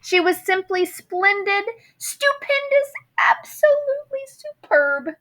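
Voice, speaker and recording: Slow, high-voiced woman reading a storybook expressively. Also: sing-song, fairly bright tone, low-quality recording. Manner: theatrical, savoring alliteration and rhyme, dramatic emphasis. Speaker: storybook reader. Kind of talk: expressive children's picture book read-aloud.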